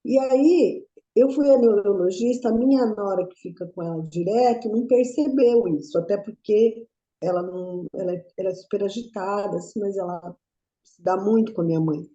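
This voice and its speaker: A woman's voice: medium pitch, moderate pace, warm tone, echoey room, lo-fi sound. A middle-aged woman in a study group asking a long question, rambling and restarting her sentences. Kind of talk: casual group discussion.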